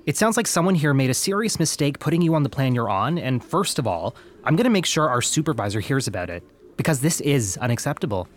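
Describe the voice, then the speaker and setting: A man played by an actor works as a phone company service rep in an audio drama. He is polite and placating, with medium pitch that moves up and down a lot and a rehearsed sincerity. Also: very animated, fast talker, lightly acted. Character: smooth, placating young male phone company representative. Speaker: customer service representative.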